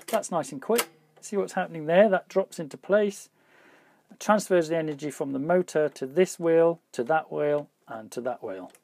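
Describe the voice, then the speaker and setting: A man with a slightly husky voice gives casual hands-on repair commentary, thinking aloud informally as he works. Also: on the high side, a little mellow, slight background noise. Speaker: repair hobbyist presenting a video.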